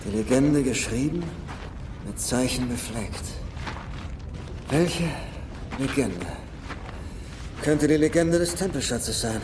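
A man playing a brainy, principled adventure-film treasure hunter, with a mid-pitched voice. He reasons out loud, halting and then eager, in long reverb with music playing strongly behind him. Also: natural voice. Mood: pondering. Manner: thinking aloud, halting then excited. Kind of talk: film dub acting.

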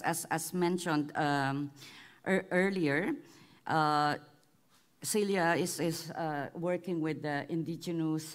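Woman panel moderator, low register, hesitant with frequent restarts. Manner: halting, many restarts.

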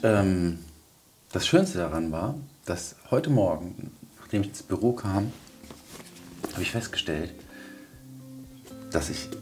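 A man in his forties casually talking through a video diary, unhurried and thinking aloud with pauses, on a clip-on mic. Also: fairly low pitch, vocal fry, darkish tone. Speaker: vlogger, audio drama maker. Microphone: wireless clip-on lavalier.

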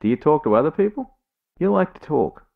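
A friendly, awkward fellow in a video game. His voice is creaky and lively, squeezed through a thin, phone-like sound. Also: fairly high pitch, brisk, heavily acted. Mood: awkwardly friendly. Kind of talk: video game dialogue acting.

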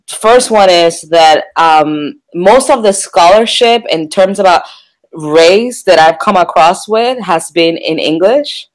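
A woman museum curator speaking on a panel over a video call, halting, with many ums and restarts. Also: fairly low pitch, low-quality recording. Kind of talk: unscripted panel discussion.

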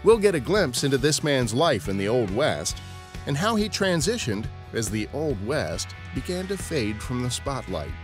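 A man's voice: medium pitch, very animated, normal speed. An American man hosting a podcast, reading scripted narration. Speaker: podcast host. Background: guitar music.

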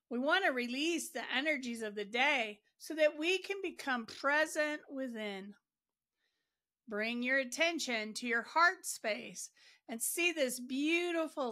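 Woman, meditation guide, bright clear-edged tone, very unhurried pace, gentle soothing delivery. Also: on the high side.

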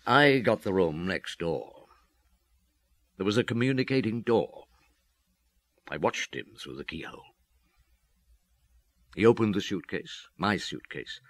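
An actor in a radio drama playing a brooding, guilt-ridden police detective who tells things in a reflective, halting way. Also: male voice, fairly low pitch, lively intonation, a bit bright, no room sound, clean studio sound. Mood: haunted, reflective. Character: brooding, guilt-ridden police detective, world-weary middle-aged man.

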